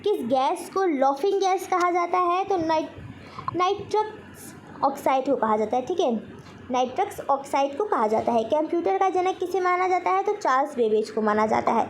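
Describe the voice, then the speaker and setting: A woman's voice: very high-pitched, animated, normal speed. A woman teacher giving a general knowledge lesson, posing questions and then answering them herself in an instructional way. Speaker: quiz teacher.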